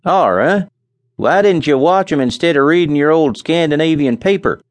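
A complaining, plain-spoken farmer talks in folksy country speech, a man giving him voice. His pitch jumps around, set higher than the narrator. The recording is dry and close. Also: expressive, normal speed, no room sound, natural voice. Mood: grumbling. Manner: folksy, grumbling talk. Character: grumbling rural farmer, blunt and complaining.

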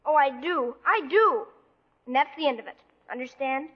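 Very high, plucky little girl. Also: expressive, normal speed. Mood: indignant, loyal. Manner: plucky, pert, affectionate. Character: spunky, devoted eleven-year-old orphan girl in a lighthouse. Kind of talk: 1940s radio acting. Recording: dull vintage radio recording.

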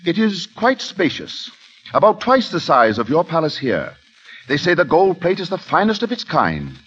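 An actor playing a sly, deferential count with a courtly, insinuating manner in old radio drama style. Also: male voice, fairly high pitch, huge pitch swings, moderate pace, a bit bright, a little hiss or hum. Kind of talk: 1930s-40s radio drama acting. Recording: dull vintage radio recording.

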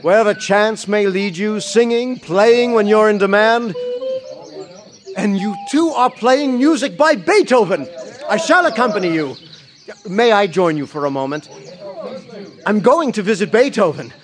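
An actor playing a poor, joyful young German musician in an audio drama, rapturous and declamatory. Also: male voice, sits high, lively intonation, measured pace, a crowd in the background. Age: young adult. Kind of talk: dramatized audio play acting.

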